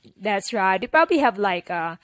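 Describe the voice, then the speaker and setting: A woman's voice: medium pitch, very animated, a little fast, a bit bright, no echo. A young woman with a Filipino accent talks easily as a podcast guest, her voice sitting in a middle register. She leans on "like" and "you know" as she goes. The recording is dry and close, with no room sound. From Philippines. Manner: chatty, many "like" and "you know" fillers. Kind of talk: casual interview conversation.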